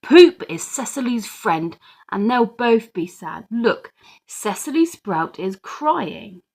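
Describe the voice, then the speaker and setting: A woman voicing an excitable, wilful little girl of about three in a children's storybook, with a pleading, dramatic manner. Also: fairly high pitch, slow pace, gravelly, husky, full character voice.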